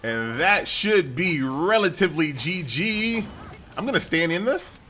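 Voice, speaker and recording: A man's voice: on the high side, very animated, measured pace, bright, forceful tone, soft background music. An American man giving casual, chatty gameplay commentary in an informal, conversational way. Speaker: gaming YouTuber.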